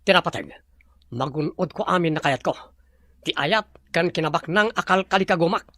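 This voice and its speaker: A woman's voice: fairly low pitch, brisk, fairly bright tone, no room sound, studio quality. A woman playing a ruthless, obsessed rich villain boss in an audio drama, gloating and domineering. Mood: angry, defiant.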